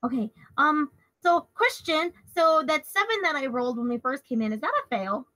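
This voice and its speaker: High, bright-voiced woman playing tabletop games. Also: animated, normal speed, no room sound, rough recording. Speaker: tabletop game player. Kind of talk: casual game chat, hesitant.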